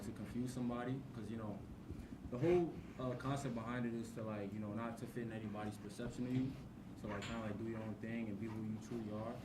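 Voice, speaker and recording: Young man, from Queens, Yoruba first language, quick casual talk, many fillers, noisy background. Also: medium pitch, distant mic. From Queens, New York. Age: 20s. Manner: relaxed, many fillers like "like" and "you know". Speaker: guest, clothing brand owner. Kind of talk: casual interview. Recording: noisy student TV studio.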